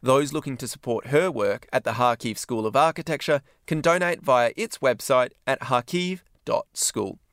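A male presenter reading scripted news links in a measured, formal way. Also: medium pitch, fairly bright tone, dry recording.

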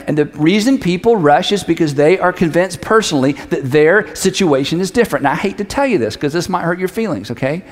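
A middle-aged man giving a conversational sermon as a pastor, speaking emphatically and repeating key phrases. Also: on the high side. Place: large hall, preaching to an audience. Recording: reverberant large hall.

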